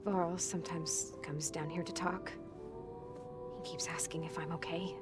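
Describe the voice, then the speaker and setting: A woman playing a guarded, earnest young adventurer in a video game, low-key and terse. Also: steady tone, a little slow. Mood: awkward, guarded. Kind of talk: video game dialogue acting. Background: game music.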